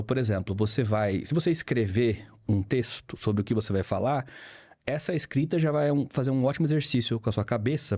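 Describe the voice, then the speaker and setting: Brazilian male teacher, fifty, conversational and didactic, mid-pitched, dry recording. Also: warm tone, no room sound. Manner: didactic, explains with examples and repetition. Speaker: guest, public speaking teacher. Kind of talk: conversational interview, explaining.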